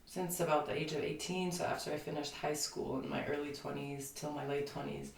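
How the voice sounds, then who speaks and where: A woman in her thirties from Lithuania speaks reflectively, trailing through fillers and restarts. Her voice has a gravelly, fry-laden edge. She sounds set back from the mic in a reverberant room, with a faint hum of ambience behind her. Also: sits low, little pitch movement, brisk, far from the mic. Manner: reflective, rambling with many fillers. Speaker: guest. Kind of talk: casual interview conversation.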